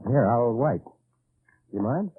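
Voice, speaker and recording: An actor playing a weary, desperate Allied agent in an old-time radio drama, narrating in a tense, confessional manner. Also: male voice, mid-range voice, lively intonation, slow pace, slightly rough. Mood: weary, reflective. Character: weary, desperate male Allied agent behind enemy lines. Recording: tinny old radio recording, background music.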